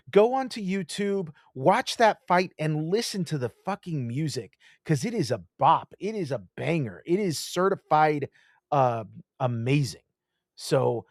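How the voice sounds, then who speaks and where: Podcast co-host, male, animated and profane, dry close-miked sound. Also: a little high, measured pace, no room sound. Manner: animated, swears often, gushing enthusiasm. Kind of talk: casual chat.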